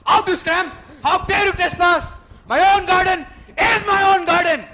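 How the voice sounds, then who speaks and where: A performer in a school stage play acting a selfish, bullying giant, declaiming in furious shouts. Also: very high voice, normal speed, very noisy. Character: selfish, bullying giant man who owns a garden.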